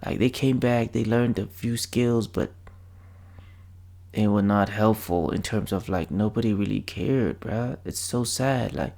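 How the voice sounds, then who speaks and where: Gravelly low-voiced man reacting casually. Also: measured pace, darkish tone. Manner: chatty, rambling, slangy. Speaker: reaction vlogger.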